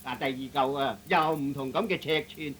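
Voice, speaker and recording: A man playing a slow-witted, literal-minded young shop servant in a Cantonese comic radio play, hesitant and earnest. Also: mid-range voice, fairly bright tone, lightly acted. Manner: dim, hesitant, pedantically literal. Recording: noisy old radio recording.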